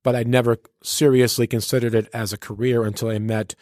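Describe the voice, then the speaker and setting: A man's voice: mid-range voice, level tone, fairly quick, darkish tone, no room sound, clean studio sound. A man who works as a radio personality and DJ, telling stories casually and colloquially with a showman's turn of phrase as an interview guest. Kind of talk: casual storytelling in a sit-down interview.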